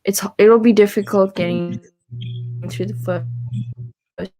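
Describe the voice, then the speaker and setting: A woman's voice: medium pitch, fairly even intonation, slow, warm tone, soft background music, poor audio. A woman chatting casually as co-host of a livestream about books, on a video call. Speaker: co-host of a book readalong livestream. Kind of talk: casual chat.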